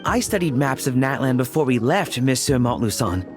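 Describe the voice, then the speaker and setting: An actor playing a studious, precise assistant in a video game, speaking formally. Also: male voice, mid-range voice, lively intonation, normal speed, over music, natural voice. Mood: earnest. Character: studious, precise male assistant to a scholarly gentleman.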